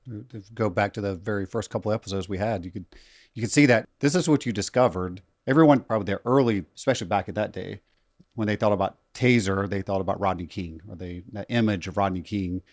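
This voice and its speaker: Host guy, lowish voice, keeps asking the guest stuff, dry close sound. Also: fast talker, no echo. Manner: prompting questions, conversational. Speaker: podcast host. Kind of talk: interviewing.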